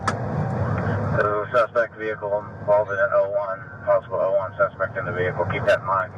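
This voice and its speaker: A man's voice: on the low side, monotone, normal speed. A man working as a police officer, calling out a pursuit in clipped radio talk on noisy footage. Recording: noisy muffled police footage, distant mic.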